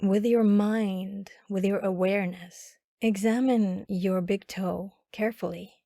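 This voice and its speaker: A woman guiding a body scan meditation in a calm, instructional manner with long pauses. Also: mid-range voice, vocal fry, no echo, clean studio sound. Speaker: meditation guide.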